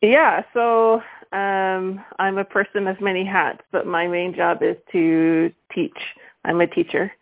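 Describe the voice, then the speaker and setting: A woman's voice: medium pitch, level tone, slow pace. A middle-aged woman with a Canadian accent, chatting casually as a podcast guest. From Vancouver, Canada. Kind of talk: casual chat. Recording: tinny phone-like connection.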